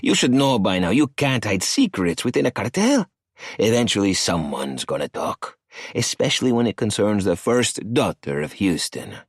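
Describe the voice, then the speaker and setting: A man's voice: on the low side, big pitch swings, normal speed, husky, no room sound, studio quality, pitched above the performer's own voice. A man doing a sneering cartel boss. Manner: sneering, taunting. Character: arrogant, mocking male cartel boss with a cigar.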